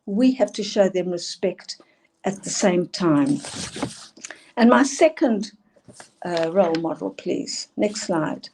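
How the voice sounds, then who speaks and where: A woman's voice: lowish voice, expressive, slow pace, pauses often, darkish tone, a little hiss or hum. An older woman from South Africa with a South African accent, earnestly presenting a talk on school leadership. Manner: earnest, emphatic, asks for next slides.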